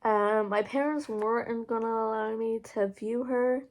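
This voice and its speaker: A woman's voice: fairly high pitch, steady tone, measured pace, darkish tone. A young adult woman with an Irish accent, chatting casually through a get-to-know-me Q&A, full of "like" and "um" fillers and blunt swearing. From Ireland. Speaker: vlogger. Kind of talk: casual Q&A vlog.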